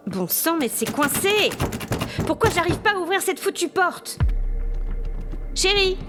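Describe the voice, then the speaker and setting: A woman's voice: very high-pitched, big pitch swings, unhurried, music in the background, heavily acted. A woman playing a frightened female tourist trapped in a haunted hotel, talking to herself in agitation in a French horror audio drama. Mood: anxious, calling out. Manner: breathless, agitated self-talk, from hushed muttering to exasperated calling.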